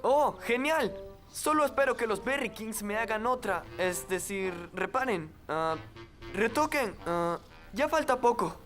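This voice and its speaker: Woman as a slow-talking, bashful cartoon boy. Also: fairly high pitch, lively intonation. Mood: awkward, polite. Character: awkward, eager-to-please young boy. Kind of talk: animated children's series dub. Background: loud background music.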